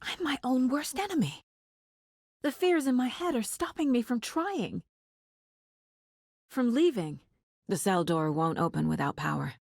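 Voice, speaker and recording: A woman playing a serious, analytical investigator and mother in a video game, speaking in a measured, pensive, terse way with a husky voice. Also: a little high, big pitch swings, no room sound, clean studio sound, exaggerated voice. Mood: anguished. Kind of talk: dramatic game dialogue, introspective investigation lines.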